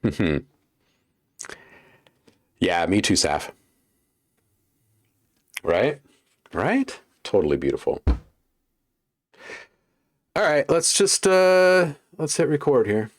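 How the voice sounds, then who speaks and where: A man's voice: medium pitch, very animated, extremely slow, pauses often, no echo. A man hosting a casual livestream while making beats, chatting with viewers and thinking aloud as he works. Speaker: livestream host and music producer.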